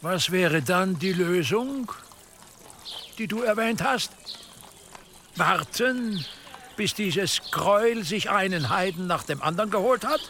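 An actor playing an imperious, slyly menacing church dignitary in a medieval radio drama, speaking deliberately and with condescending insinuation. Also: male voice, high-pitched, expressive, pushed and bright, a little hiss or hum, heavily acted. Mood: sceptical. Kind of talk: German radio drama acting in a medieval setting.

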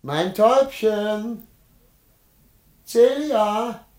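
An actor playing a greedy, jealous husband in a classic radio play, wheedling and hemming, then blustering. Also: male voice, sits high, extremely slow, a little mellow.

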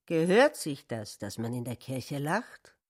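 A woman's voice: very deep voice, sing-song, unhurried, breathy edge, exaggerated voice. A woman narrating a satirical literary radio drama. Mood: pointed.

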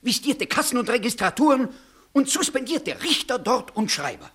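An actor playing a sly, needling, officious court clerk, declaiming pointedly with a husky voice in a 1960s German radio verse comedy. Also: male voice, very high voice, normal speed, full character voice. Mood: ominous reporting. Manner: pointed, mocking, animated declamation.

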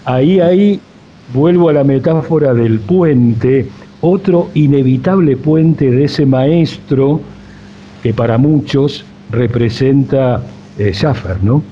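An Argentine man interviewing on radio in a reflective, deliberate manner, with formal, wordy phrasing. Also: mid-range voice, dark tone. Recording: dull radio audio, slight noise.

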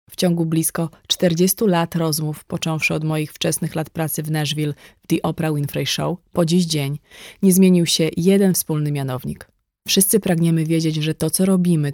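A woman reading a first-person nonfiction audiobook in a measured, articulate way. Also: low-pitched, a little mellow, no echo, studio quality. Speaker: audiobook narrator.

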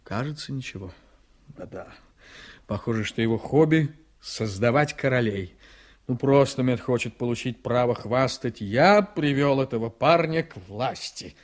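A man's voice: medium pitch, moderate pace, natural voice. An actor playing an ambitious, jovial, scheming district attorney in a radio drama, theatrical and exclamatory, repeating his phrases. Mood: calculating. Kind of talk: Soviet-style radio drama acting.

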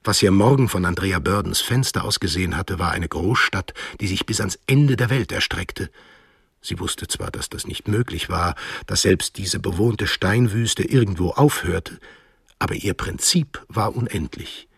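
A man narrating a literary radio drama in German as a grave, measured storyteller. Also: low-pitched, vocal fry, slightly rough, no room sound, clean studio sound, heavily acted. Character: solemn storyteller narrating a literary eco-thriller.

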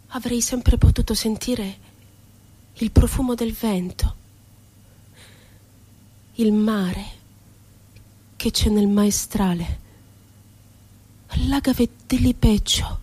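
An actress playing a wounded, ironic woman poet and former asylum inmate in a theatrical radio monologue, with dramatic, deliberate phrasing. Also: medium pitch, warm tone, breathy edge. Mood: wistful, lyrical. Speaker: stage actress.

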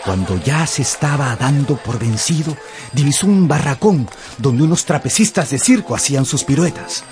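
A man's voice: mid-range voice, animated, moderate pace, darkish tone. A man narrating a dramatized story for radio in a theatrical storytelling manner. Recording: music behind the voice.

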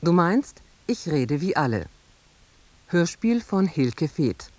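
A woman's voice: on the low side, sing-song, slow, mellow, no room sound. A woman radio announcer reading out scripted credits.

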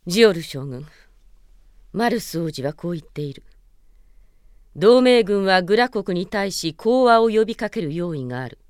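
An actress playing a proud, stern young warrior princess in a radio drama, formal and resolute. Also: female voice, fairly low pitch, animated, moderate pace, long pauses, darkish tone, clean tone, no room sound, clean studio sound, close to an everyday voice. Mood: grave, firm.

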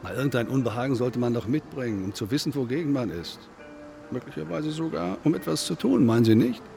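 An actor in a radio play playing a self-absorbed congress guest who philosophizes in a pompous, long-winded, declamatory way. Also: male voice, mid-range voice, big pitch swings, quick pace, vocal fry, over music. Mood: self-satisfied. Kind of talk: German radio play acting.

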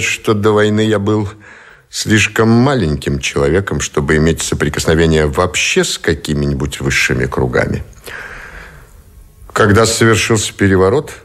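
A dignified naval officer in a radio play. His voice is low and his delivery is deliberate and formal. He sounds reserved, choosing each phrase with measured care. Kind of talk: Russian radio drama acting.

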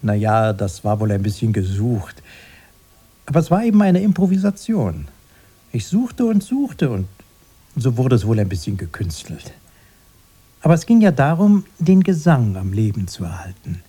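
An actor playing a rambling, self-excusing grown man being interviewed in a radio play, with a dark, husky voice. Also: mid-range voice, big pitch swings, moderate pace. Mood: sheepish. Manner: chatty, rambling, repeating himself.

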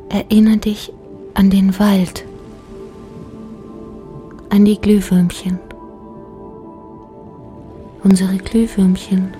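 An actress playing a dreamy, ghostly woman in a radio drama, coaxing in a breathy, half-whispered incantation. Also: medium pitch, dark tone. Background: ambient music with singing bowls.

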